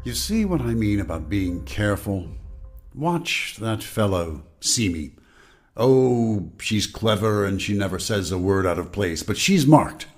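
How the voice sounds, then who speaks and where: A man's voice: lowish voice, big pitch swings, unhurried, faint music behind. A man acting in an audio drama as the wary, cautioning inner voice of a fearful man. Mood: warning. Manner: cautioning, conspiratorial.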